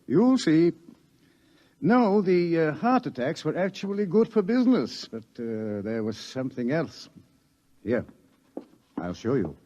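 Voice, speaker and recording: An actor playing an authoritative middle-aged scientist who lectures deliberately and ominously about coming doom, in an old radio drama style. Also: male voice, fairly high pitch, very animated, mellow, no echo. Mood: darkly wry. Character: authoritative older male scientist explaining impending doom. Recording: dull lo-fi old radio recording.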